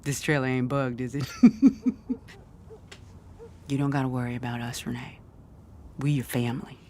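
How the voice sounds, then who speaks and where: A woman's voice: a bass voice, flat delivery, normal speed, dark tone, a little hiss or hum. An actress playing a brash, proud, working-class middle-aged mother in a naturalistic audio drama, folksy and emphatic. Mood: reassuring.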